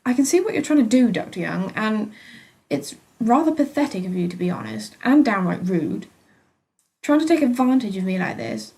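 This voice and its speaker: A woman's voice: a little high, lively intonation, moderate pace, dark tone. A British woman voicing a petulant, sarcastic young female hospital patient in a dramatic first-person story reading, exasperated and huffy. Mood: angry, defiant.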